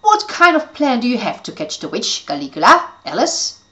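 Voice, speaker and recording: A woman's voice: a little high, very animated, normal speed, pushed and bright, pitched above the performer's own voice. A woman voicing a young male fairy who is eager and a little boastful. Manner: eager, boyish.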